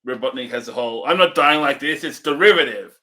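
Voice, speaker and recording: A man's voice: medium pitch, expressive, fairly quick, sharp tone. A man co-hosting a casual movie review, listing points in an easygoing way with frequent "um" and "uh". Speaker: co-host.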